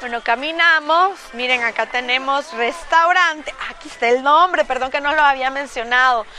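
A woman's voice: high-pitched, normal speed, bright, forceful tone, faint music behind. A woman in her thirties with a Salvadoran Spanish accent, hosting a casual travel vlog outdoors, chatty and enthusiastic as she talks straight to her viewers. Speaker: travel vlogger. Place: outdoors at a windy hilltop lookout restaurant. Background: wind and outdoor ambience.

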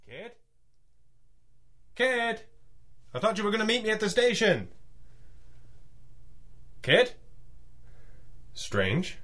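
An actor playing a thoughtful masked pulp detective hero in a radio drama, musing aloud deliberately. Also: male voice, sits high, wildly swooping pitch, bright, forceful tone, faint music behind, full character voice. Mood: calling out.

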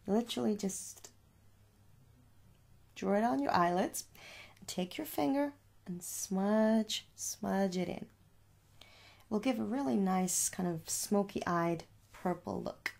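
A young adult woman doing a soft, reassuring ASMR makeup store roleplay, chatting casually and repeating herself as a shopkeeper. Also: medium pitch, slow, gravelly, long pauses. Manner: reassuring, repetitive, conversational. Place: small room at home.

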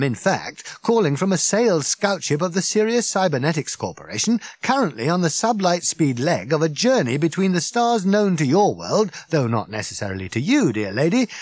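A man doing an excitable ranting alien man. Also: a little high, dry recording, voice pushed up. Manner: loud, indignant ranting.

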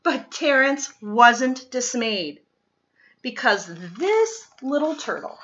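A woman reads a children's picture book aloud with expressive, deliberate dramatic emphasis, recorded in a living room. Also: on the high side, dull audio. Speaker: storytime reader.

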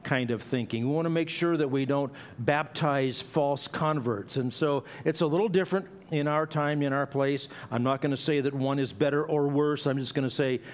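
A man's voice: fairly high pitch, normal speed, hardly any pauses. A middle-aged man preaching an expository sermon in a church, explaining a passage in a conversational way with asides and small restarts. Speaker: pastor. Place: church sanctuary.

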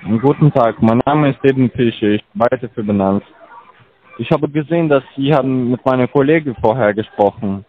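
Crypto scam caller with broken grammar, tinny line. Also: male voice, mid-range voice, brisk. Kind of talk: phone sales call.

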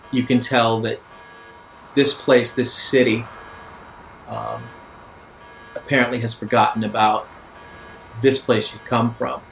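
A man acting as game master, improvising descriptive tabletop narration and often pausing mid-phrase. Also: on the low side, low-quality recording. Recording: background music under voice.

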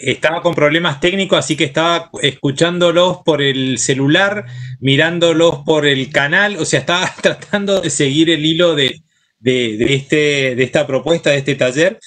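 Middle-aged Argentine man speaking haltingly. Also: fairly high pitch, fairly bright tone. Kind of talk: informal thank-you remarks on a video call. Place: indoor room with bookshelves. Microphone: computer mic on a video call.

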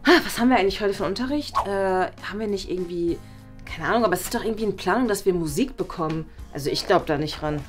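A woman's voice: mid-range voice, animated, fast talker. Woman, hesitant sketch delivery, music behind. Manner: hesitant, lots of ums. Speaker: classroom comedy actor. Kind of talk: improvised school sketch.

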